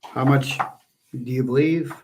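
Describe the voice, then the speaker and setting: A man's voice: mid-range voice, fairly even intonation, slow, noisy background. A man in his forties co-hosting a casual wrestling podcast, blunt and opinionated. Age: 40s. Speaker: podcast co-host.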